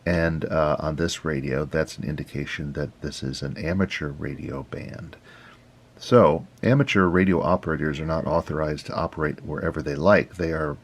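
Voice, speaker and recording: A man hosting an informal tutorial, explaining things step by step in an unhurried, halting way. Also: very deep voice, vocal fry, husky. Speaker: host, radio hobby instructor.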